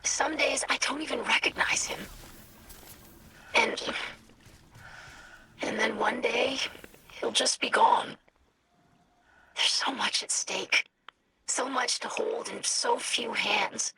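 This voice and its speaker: Game role: anxious young female alien scientist, whispery and bright, earnest, hesitant, formal. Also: a little high, takes it slowly, long pauses, exaggerated voice, low-quality recording. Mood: anguished. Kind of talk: video game dialogue acting.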